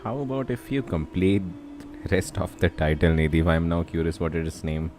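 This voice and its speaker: A man's voice: sits low, normal speed, a little mellow. A young man with an Indian accent chatting casually as he livestreams a game. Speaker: game streamer. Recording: game music behind the voice. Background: video game music.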